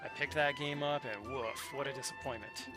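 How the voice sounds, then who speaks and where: A man's voice: medium pitch, fairly even intonation, moderate pace. A man streaming a game who chats casually and rambles while the game plays. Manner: rambling, chatty, talks over game audio. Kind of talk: casual chat while gaming. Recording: stream with loud game music.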